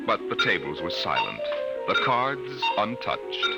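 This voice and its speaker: An actor playing a grave, dramatic storyteller, narrating in the style of 1950s radio drama. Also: male voice, on the low side, very animated, unhurried, gravelly, heavily acted. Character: grave, dramatic male storyteller of a doomed house. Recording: old radio recording with loud music.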